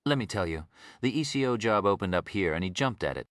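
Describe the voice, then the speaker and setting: A man's voice: on the low side, expressive, fast talker, a bit bright, no echo, clean studio sound. A man voicing a retired Texan prison officer in an audiobook, an older man with a folksy, rambling drawl. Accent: Texas.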